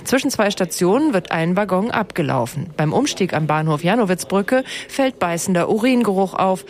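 A woman reporter narrating a scripted radio news feature in a calm, measured way. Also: medium pitch.

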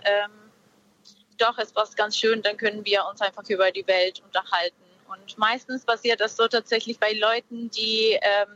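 A woman's voice: fairly high pitch, sharp tone. A dull, lo-fi line carries a woman. She talks casually and reflectively, often searching for words as she goes. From Peru. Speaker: caller, guest on a radio show. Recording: dull, lo-fi connection.

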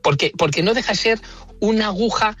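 Husky, emphatic man from central Spain. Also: high voice, fairly quick, a bit bright, lo-fi sound, faint music behind. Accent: central Spain Spanish. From Madrid, Spain. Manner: emphatic, opinionated, rhetorical questions. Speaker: interview guest, engineer. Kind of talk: conversational explanation with examples.

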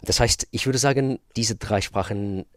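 A man's voice: medium pitch, normal speed, no room sound. A man from Russia, a pianist answering questions in a radio interview, thoughtful and searching for words. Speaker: guest pianist.

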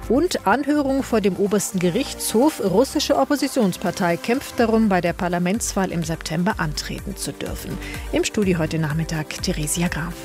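Lady news presenter in a radio studio, crisp even reading, mid pitch, soft music bed underneath. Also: normal speed. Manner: crisp, even broadcast delivery. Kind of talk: read news, traffic and weather bulletin.

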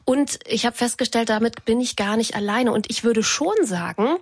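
A woman's voice: on the high side, normal speed, slightly rough, no room sound, clean studio sound. A woman in her thirties from eastern Germany, talking personally and reflectively as a radio co-host. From Brandenburg and East Berlin, Germany. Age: 30s. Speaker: radio talk show host. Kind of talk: conversational on-air co-host talk.

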